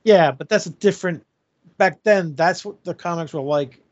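A man's voice: on the high side, normal speed, no room sound. Man, fifties, podcast co-host, jokey, lo-fi recording. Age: 50s. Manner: joking, laughs often. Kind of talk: casual group chat. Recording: dull lo-fi sound.

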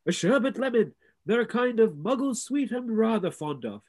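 A man voicing a calm, kindly old wizard. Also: sits high, normal speed, pitched above the performer's own voice. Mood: gentle.

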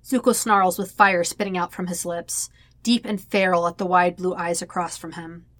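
A woman's voice: on the low side, fairly even intonation, moderate pace, a bit bright, no echo. A woman narrating a story in a dramatic, scripted audiobook style. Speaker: fan fiction narrator.

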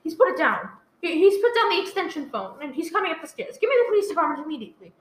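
A woman's voice: very high voice, big pitch swings, very fast. A woman acting a panicked invalid wife alone in her house in a radio play, stammering and pleading frantically. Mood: desperate, frightened. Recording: roomy, echoey, faintly noisy live recording.

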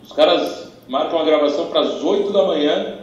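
A man's voice: on the high side, normal speed, far from the mic, muffled recording. Wisecracking man, off-mic and echoey. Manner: wisecracking, sarcastic. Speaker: podcast co-host. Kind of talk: casual chat.

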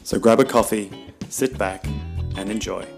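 A man's voice: fairly low pitch, normal speed, vocal fry, over music, pitched below the performer's own voice. A man reading a scripted, laid-back podcast intro.

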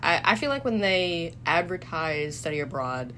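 A woman's voice: lowish voice, very animated, normal speed, a bit bright, a little hiss or hum. A young woman hosting a casual interview, laughing often and teasing her guest. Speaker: host.

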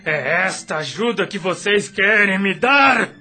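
A man's voice: sits high, animated, sharp tone, breathy edge, heavily acted. A man playing a rebellious, vengeful, bitter spirit in a radio drama, with a hostile, confrontational manner. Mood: indignant. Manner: hostile, confrontational, drawn-out delivery.

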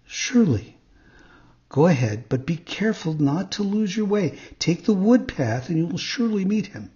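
A man voicing a kind young female fairy in a storybook, gentle and motherly. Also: high voice, sing-song, moderate pace, dull audio, pitched above the performer's own voice. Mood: gently cautioning.

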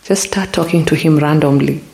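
Podcast host talking calm and reflective, middle pitch, lots of gentle questions, bit hesitant in the stories. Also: fairly quick, gravelly, low-quality recording. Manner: calm, asks many probing questions; loose and hesitant when retelling stories, with fillers. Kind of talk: reflective spiritual monologue.

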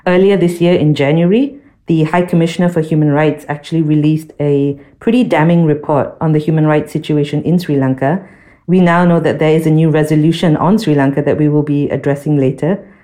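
A woman with a low, soft-edged mellow voice co-hosts a discussion podcast. She explains in measured, articulate sentences, laying things out step by step. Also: fairly even intonation. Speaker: podcast co-host.

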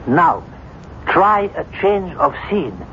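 An actor playing a reasoning doctor in a radio drama, formal, deliberate and argumentative. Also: male voice, fairly high pitch, sing-song, exaggerated voice. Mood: firm concern. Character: measured, reasoning male doctor advising a troubled patient. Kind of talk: 1970s radio drama acting. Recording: muffled, noisy vintage radio broadcast.

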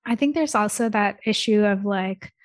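A woman's voice: mid-range voice, moderate pace, dry recording. Brief: woman, twenties, from Michigan, thoughtful casual talk with frequent fillers, dry close mic. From Michigan, United States. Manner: earnest, thoughtful, many "like" fillers. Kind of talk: casual conversation.

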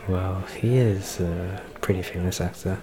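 A man acts out a shy male celebrity flirting with a stranger in an ASMR roleplay. His voice is deep and he takes his time, hesitating bashfully as he goes. A bar crowd chatters clearly behind him. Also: steady tone. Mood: bashful, awkward. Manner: hesitant, halting, nervous soft laughs. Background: crowd noise of a bar.

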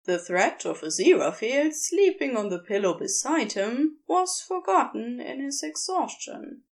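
A woman reading scripted fiction as a narrator, in an expressive storytelling style. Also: high voice, measured pace, slight background noise. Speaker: podfic narrator.

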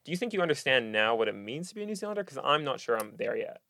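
A young man with an American accent, hosting a casual podcast chat in a relaxed, hesitant way with many pauses. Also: mid-range voice, gravelly, no echo. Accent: American Midwest. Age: young adult. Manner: relaxed, hesitant, lots of ums and laughs. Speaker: podcast host.

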